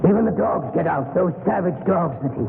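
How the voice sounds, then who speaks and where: Frantic captive with a creaky voice. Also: male voice, sits high, lively intonation. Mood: bitter, anguished. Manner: agitated, plaintive, halting. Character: frantic, tormented captive man, pleading for help. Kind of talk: 1930s radio drama acting. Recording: scratchy, noisy, narrowband 1930s radio recording.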